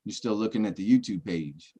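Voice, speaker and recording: A man's voice: on the low side, brisk, mellow, breathy edge. A man on a casual livestream chat, walking through puzzle clues step by step, joking now and then and checking with his listener. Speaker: treasure-hunt searcher presenting clues.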